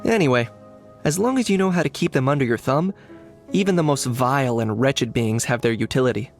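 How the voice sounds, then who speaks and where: An actor playing an aloof young male hunter in a video game, with a measured, deadpan delivery full of dry understatement. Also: mid-range voice, close to an everyday voice. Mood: dry, pragmatic. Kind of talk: scripted video game character voice lines. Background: background music.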